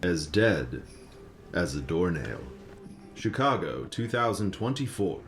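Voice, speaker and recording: A man's voice: low voice, big pitch swings, slow, faint music behind. A man narrating a comic radio play as a dramatic storyteller, reading from a script.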